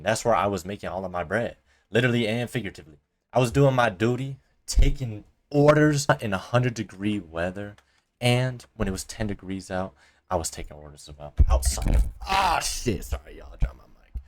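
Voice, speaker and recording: A man's voice: fairly low pitch, a bit bright. A young man from Colorado telling a casual, profane story to camera as a vlogger, heavy on slang fillers, recorded at home on a cheap desk mic. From Colorado, USA. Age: young adult. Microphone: cheap desk mic resting on a coin jar.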